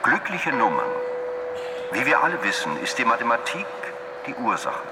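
An actor playing the didactic, self-assured loudspeaker lecturer of a totalitarian state, declaiming like a public announcement in a radio drama. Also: male voice, mid-range voice, wildly swooping pitch, normal speed. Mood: didactic, pompous. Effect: public-address loudspeaker in a large hall. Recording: echoey hall, long reverb, loud noise.

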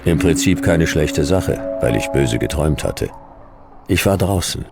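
Hardboiled detective, fifty, bottomless gravelly register, laconic and jaded, radio noir narration over music. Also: male voice, extremely low pitch, animated, unhurried, exaggerated voice. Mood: bleak, weary. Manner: laconic, dry, clipped. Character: world-weary fifty-year-old private detective, hardboiled and sardonic. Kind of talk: first-person noir radio drama narration.

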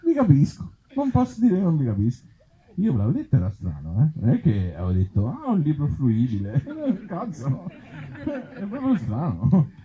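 A man's voice: on the high side, wildly swooping pitch, normal speed, narrow, tinny audio, some chatter behind. A man reading his own absurd short stories live, breaking off into conversational, self-deprecating asides to the audience. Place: live outdoor festival event before an audience.

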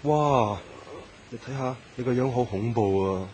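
A man's voice: medium pitch, measured pace, a little mellow, breathy edge. A man acting as a bashful, nervous young man in a radio drama, hesitant and stammering, with a Hong Kong Cantonese accent.

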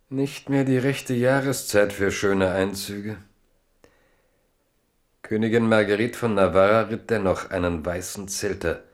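A man narrating a historical radio drama in a measured storytelling manner. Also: low-pitched. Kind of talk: scripted literary narration.

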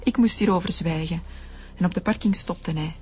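A woman's voice: fairly low pitch, moderate pace, a little mellow, slight background noise, close to an everyday voice. Woman playing earnest, shaken Flemish witness. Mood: earnest recounting. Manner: defensive, earnest recounting. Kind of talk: radio drama acting.

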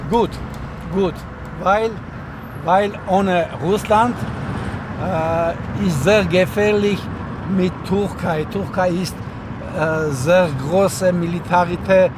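A man in his sixties speaks in a high voice with an Armenian accent. He goes very slowly, in halting, broken phrases, chatting inside a car with clear vehicle noise around him. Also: fairly even intonation. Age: 60s. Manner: halting, broken phrases in a non-native language. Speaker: taxi driver. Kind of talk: casual conversation.

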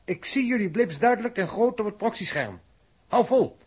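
A man's voice: sits high, lively intonation, normal speed, slightly rough. An actor playing a stiff, logical, reserved crewman in a 1960s radio drama, with dry, formal reasoning. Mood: urgent.

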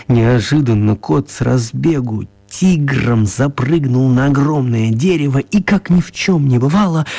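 A man narrating a children's radio play as an expressive storyteller, with theatrical, deliberate phrasing. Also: mid-range voice, vocal fry, breathy edge, no room sound.

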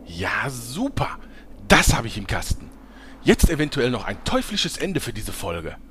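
A man playing a slick, flattering showbiz director in a parody audio drama, cajoling and gushing. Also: medium pitch, unhurried, slight background noise. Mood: delighted, schmoozy. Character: slick, flattering showbiz director coaxing his villain star.

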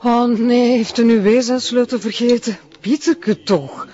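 An actress playing a jealous, sharp-tongued Flemish woman with a sarcastic, indignant manner in a naturalistic TV drama. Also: fairly high pitch, fairly even intonation, a little slow, close to an everyday voice. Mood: annoyed. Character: jealous, sharp-tongued woman scolding her police partner. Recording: dull lo-fi audio with faint noise.

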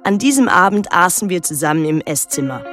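An actress playing a troubled, watchful daughter who narrates an island mystery in a measured, literary way. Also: female voice, fairly low pitch, expressive, fairly bright tone, soft background music. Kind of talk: literary radio drama narration and dialogue.

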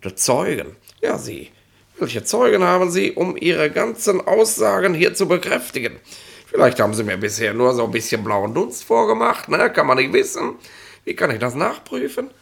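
An actor playing a gruff, pedantic, slow-witted police inspector in a 1960s radio comedy, folksy, grumbling and rambling. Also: male voice, fairly high pitch, sing-song, fairly bright tone, full character voice. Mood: officious, needling. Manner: folksy, grumbling, officious, rambling with ers and tag questions.

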